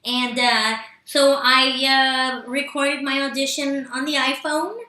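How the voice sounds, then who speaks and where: A woman's voice: high-pitched, steady tone, slow, bright, forceful tone, echoey room. A woman who grew up speaking Spanish, chatting as an actress guest, stopping often on fillers and restarts. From Los Angeles. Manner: hesitant, many fillers and restarts. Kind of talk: casual interview chat.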